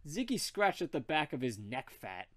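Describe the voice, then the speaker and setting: A man's voice: a little high, sing-song, moderate pace, a bit bright. A man narrating a comic story as he reads it aloud. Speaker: narrator, reader.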